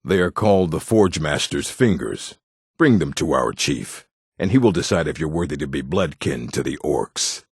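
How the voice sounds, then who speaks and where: An actor playing a gruff, proud orc in a fantasy video game, blunt and stern, with a deep, husky, gravelly voice. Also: male voice, lively intonation, normal speed, no echo, clean studio sound, full character voice. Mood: proud, solemn. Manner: blunt, stern, terse, solemn. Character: gruff, proud, hostile male orc of a stronghold.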